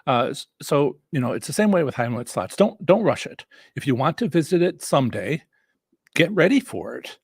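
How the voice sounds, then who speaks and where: A man with a slightly rough, husky voice, worn from talking, hosting a casual instructional live stream in a halting, rambling way with repeated restarts. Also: fairly high pitch, sing-song, no room sound, clean studio sound. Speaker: host and instructor of a live stream on slots strategy.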